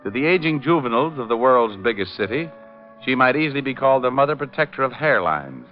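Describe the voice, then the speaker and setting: An actor playing a glib, flirtatious private detective who narrates his case with deadpan quips in vintage radio style. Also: mid-range voice, fast talker, lightly acted. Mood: wry narration. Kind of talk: 1940s-50s radio detective acting, hardboiled narration. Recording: muffled old-time radio recording with music.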